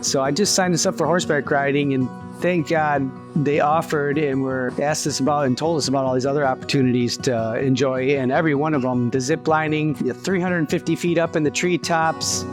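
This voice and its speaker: Higher-pitched American man giving testimonial. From Montana, United States. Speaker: event attendee giving a testimonial. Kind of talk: casual on-camera testimonial.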